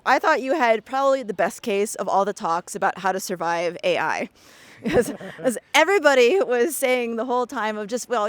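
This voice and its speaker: A woman's voice: on the high side, no echo. A woman interviewing a guest in a chatty, encouraging way, often backing him up with short replies. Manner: chatty, encouraging, laughs easily. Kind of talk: casual interview.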